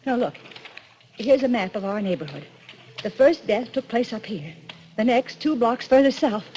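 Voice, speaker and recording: A woman's voice: on the high side, lively intonation, moderate pace, darkish tone, close to an everyday voice. An actress playing a frightened, haunted woman in breathless, stammering 1940s radio melodrama. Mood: urgent, fearful. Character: terrified young woman haunted by a killer. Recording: noisy vintage radio broadcast.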